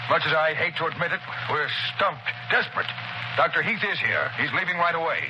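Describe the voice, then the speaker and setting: An actor in a 1930s radio drama playing a harried police commissioner, official in manner, exasperated and urgent. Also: male voice, a little high, expressive, fairly quick, natural voice. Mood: exasperated, desperate. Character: harried male police commissioner, gruff, reluctant, desperate. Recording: noisy, dull 1930s radio recording.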